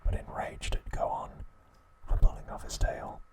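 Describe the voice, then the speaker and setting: Whispering male ASMR narrator. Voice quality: breathy, unvoiced whisper. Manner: slow, deliberate, soft, broken into short phrases. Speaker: ASMR narrator recapping a show.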